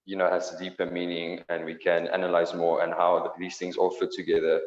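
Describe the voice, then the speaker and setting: Teen schoolboy, really deep flat voice, stumbles a lot, echoey muffled zoom sound. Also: male voice, a little fast. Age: teens. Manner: hesitant, restarting. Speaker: student. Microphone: earphone mic, video call. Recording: echoey, dull call audio.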